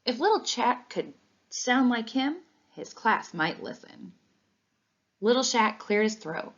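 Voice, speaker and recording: A woman reading a children's storybook aloud in an expressive, animated way. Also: fairly high pitch, takes it slowly. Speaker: read-along reader. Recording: dull, lo-fi sound.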